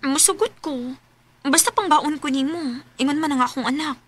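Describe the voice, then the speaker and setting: A woman's voice: sits high, moderate pace, slight background noise, lightly acted. A woman with a Cebuano Filipino accent playing a forthright young single mother in her early twenties in a radio drama. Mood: earnest. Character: forthright 21-year-old single mother eager for love.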